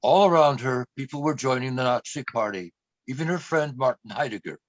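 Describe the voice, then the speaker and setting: A man's voice: mid-range voice, brisk, no room sound, dull audio, lightly acted. A man acting as the grave, earnest narrator of a scripted radio play.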